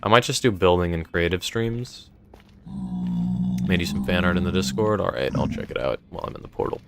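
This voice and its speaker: Low-voiced young man streaming casually. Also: animated, brisk, creaky, very noisy. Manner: rambling, reads chat aloud and answers it. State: voice feeling strained. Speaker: streamer running a game server. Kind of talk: casual live-stream chat.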